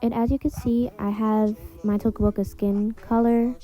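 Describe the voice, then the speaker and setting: A woman's voice: on the high side, level tone, moderate pace, mellow, low-quality recording. A woman giving a casual, rambling step-by-step tutorial voice-over in an informal way. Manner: informal, rambling, repeats filler phrases. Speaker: video creator and host of a tutorial.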